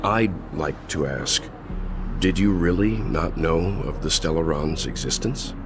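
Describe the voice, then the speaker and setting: A man playing a calm, serious middle-aged gentleman in a video game, courteous but firm and formal, over music. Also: deep voice, unhurried. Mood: firm courtesy. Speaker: character voice actor. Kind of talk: scripted video game drama acting.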